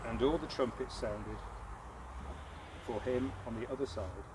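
A man's voice: mid-range voice, measured pace. A man reading a written funeral tribute outdoors in a churchyard, recorded on a camera a few metres away. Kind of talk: read eulogy. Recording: loud road noise, outdoor recording.

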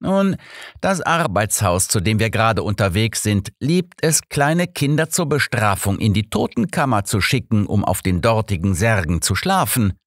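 A man voicing a sardonic, sharp-minded elderly detective in an audiobook, pointed and dryly persuasive. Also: fairly low pitch, big pitch swings, moderate pace, no echo, studio quality, pitched above the performer's own voice. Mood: grave, lecturing. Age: older.

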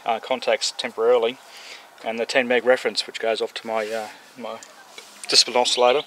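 A man's voice: mid-range voice, fairly bright tone. An Australian man in his forties giving an informal outdoor demonstration as an amateur radio operator. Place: open grassy hillside outdoors. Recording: outdoor recording with clear background noise.

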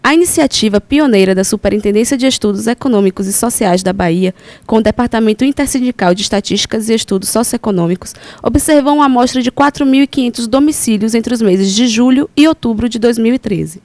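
A woman reporter reading a scripted news voice-over in a steady, clear way. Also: a little high, fast talker, dry recording.

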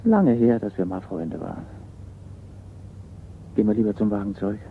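An actor playing a resigned art forger on the run in a radio crime drama, terse and subdued. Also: male voice, lowish voice, expressive, moderate pace, vocal fry. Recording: tinny band-limited radio recording with hiss.

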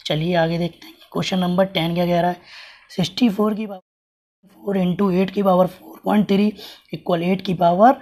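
A man teaching a maths lesson with a hoarse voice that is giving out. He works through each step patiently and keeps asking the class what comes next. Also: sits high, fairly even intonation, moderate pace, a little mellow. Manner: patient, explains with repeated rhetorical questions. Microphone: hands writing on paper, camera over the page.

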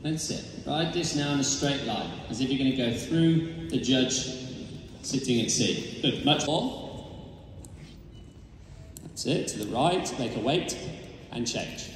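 A man's voice: mid-range voice, expressive, a little slow, long pauses, heavy reverb, long reverb tail, a little hiss or hum. A man coaching a rider in a large indoor arena, giving directive, encouraging calls, recorded from a far camera. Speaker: riding coach. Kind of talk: live riding instruction in an arena.

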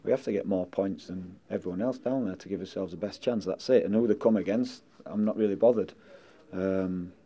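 Blunt man, very dark voice. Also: low voice. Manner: blunt, measured, repeats "listen" and "um". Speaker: football manager. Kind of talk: post-match press interview. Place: indoors in front of a sponsor board. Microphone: handheld mics held close.

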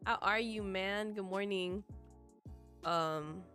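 Hoarse young woman streamer, chatty. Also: medium pitch, takes it slowly, soft background music. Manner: chatty, greets viewers by name. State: hoarse, losing her voice. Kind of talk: casual game stream chat. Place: bedroom. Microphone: headset. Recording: loud game gunfire behind.

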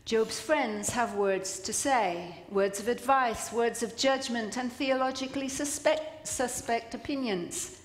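An older woman priest giving a sermon from a church pulpit, with measured, dramatic delivery and deliberate pauses. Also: on the high side. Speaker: priest preaching. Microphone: gooseneck lectern microphones. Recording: echoey church with long reverb.

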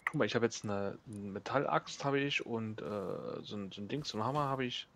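A man playing along as a teammate, talking quietly in short, laconic replies. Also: medium pitch, brisk, slight background noise. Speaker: gaming teammate. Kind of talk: casual gameplay chat.

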